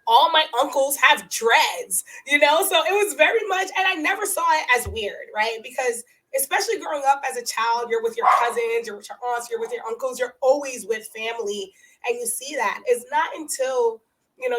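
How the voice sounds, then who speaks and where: Lively, laughing woman dentist, higher voice. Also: fairly quick, poor audio. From United States, family from Guatemala. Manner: animated storytelling, laughs often, acts out quoted voices. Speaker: dentist, podcast guest. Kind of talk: casual conversational interview.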